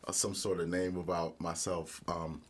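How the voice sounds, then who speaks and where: Deep-voiced laughing man from Michigan. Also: level tone, moderate pace, breathy edge. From Michigan, United States. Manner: friendly, laughs easily, fillers. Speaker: guest singer-songwriter. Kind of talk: casual interview chat.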